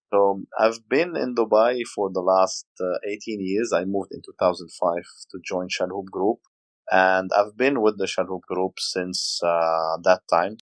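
Lebanese guy in his 40s, deep voice, lots of ums, dry sound. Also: no room sound, poor audio. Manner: hesitant, many fillers, storytelling. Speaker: podcast guest. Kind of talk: casual interview talk.

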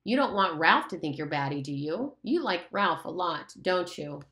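A woman's voice: lowish voice, big pitch swings, normal speed, fairly bright tone. A woman voicing the Lord of the Flies, a taunting beast. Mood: mocking. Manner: taunting, condescending, menacing. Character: sneering pig's head, a mocking male beast. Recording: roomy echo.

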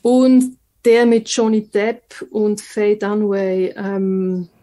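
A woman guest on a film podcast, recalling favourite films in a halting way. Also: medium pitch, level tone, dark tone. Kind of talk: casual film discussion.

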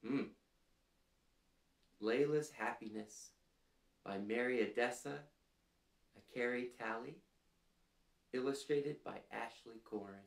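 A man in his thirties hosting a children's storytime, reading aloud and prompting listeners in a gentle, deliberate, clearly phrased way, seated in a small room. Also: medium pitch. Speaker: storytime host reading picture books to children. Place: small furnished room indoors, seated on a couch. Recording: roomy indoor sound.

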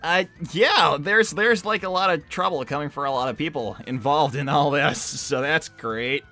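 A man voicing a brash young cartoon hedgehog hero, flustered and defensive, talking casually and haltingly in character. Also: on the high side, pushed and bright, faint music behind. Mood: resigned. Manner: halting, casual teenage slacker phrasing. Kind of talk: improvised in-character livestream Q&A.

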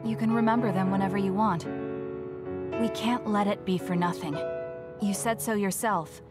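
Woman doing a cool blunt young soldier girl in a game, flat reserved talk, music behind. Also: mid-range voice, moderate pace, close to an everyday voice. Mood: earnest. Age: young adult. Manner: matter-of-fact, reserved, blunt. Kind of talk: video game dub acting.

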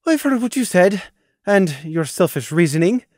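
A man voicing a bitter, hurt young mare who snaps and shouts in a high, shrill voice. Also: very animated, moderate pace, dry recording, studio quality. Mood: controlled.

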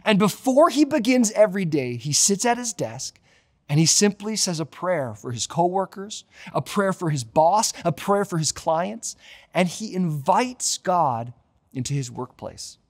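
A man's voice: sits high, big pitch swings, a little slow. A man in his thirties with a Canadian accent preaching a sermon as a pastor, emphatic and repeating key phrases for effect. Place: large empty church auditorium.

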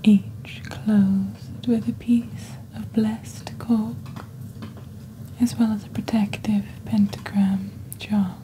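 A young woman acts out a composed, mysterious witch on a shopping channel. The voice is very dark and mellow, soft and half-whispered close to the mic. She presents in slow, deliberate ASMR phrases over faint background ambience. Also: mid-range voice, flat delivery, slight background noise, close to an everyday voice, rough recording. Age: young adult. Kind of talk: ASMR roleplay, scripted product presentation.

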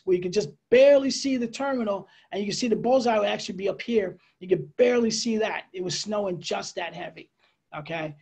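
A middle-aged man with an American accent explains slides as an air traffic controller, conversational and a bit halting, with pauses and asides. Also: sits high, gravelly, a little mellow. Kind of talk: informal slide presentation, explanatory. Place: indoors, on a video call.

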